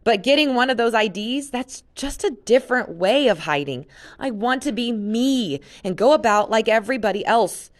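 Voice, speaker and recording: A woman voicing a fierce, defiant teenage girl from a read-aloud story, talking loud and insistent. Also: fairly high pitch, animated, normal speed, pitched above the performer's own voice, close to an everyday voice.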